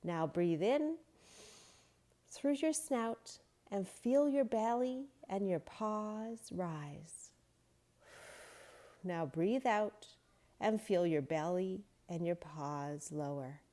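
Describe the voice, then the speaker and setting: A woman voicing a kind, calm little girl yogi who gently guides slow breathing. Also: mid-range voice. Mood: soothing. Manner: slow, soothing, guiding breathing.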